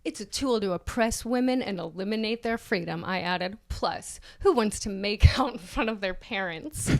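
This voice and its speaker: A woman's voice: mid-range voice, lively intonation, normal speed, gravelly, a little mellow. A woman co-hosting a comedy podcast, reading an article aloud mock-dramatically with joking asides and often breaking into giggles. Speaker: podcast co-host.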